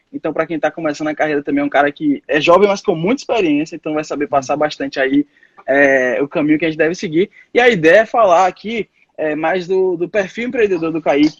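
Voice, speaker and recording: A man hosting a live stream, introducing his guest in a quick, upbeat, friendly way. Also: fairly high pitch, slightly rough, no room sound, lo-fi sound. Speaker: host. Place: home, live video call.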